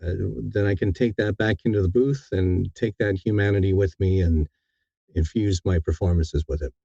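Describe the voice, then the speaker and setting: Deep, mellow man chatting in interview. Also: fairly even intonation, normal speed, gravelly, no room sound, highs cut off. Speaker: audiobook narrator being interviewed. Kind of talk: casual interview chat.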